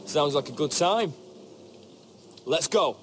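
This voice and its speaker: A man's voice: a little high, expressive, slow, noisy background. A man playing an eager, combative young soldier in a video game drama. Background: music.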